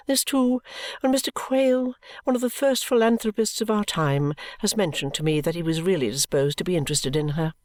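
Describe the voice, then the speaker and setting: A woman reader voicing a middle-aged mother who answers her daughter in a calm, clear, complacent and coolly dismissive way. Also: lowish voice, brisk, close to an everyday voice. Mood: serene dismissive.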